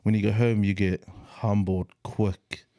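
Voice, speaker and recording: Low-voiced New Zealand man, slangy and relaxed. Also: measured pace, dry recording, studio quality. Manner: relaxed, slangy, heavy use of "like" and "bro". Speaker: podcast co-host. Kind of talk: casual chat.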